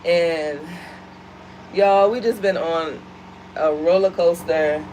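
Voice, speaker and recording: A woman's voice: lowish voice, steady tone, slow pace, darkish tone, slight background noise, rough recording. An American woman co-hosting a home vlog, chatting animatedly and addressing the viewers as y'all. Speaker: vlog co-host. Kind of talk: casual chat to viewers. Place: at home, seated.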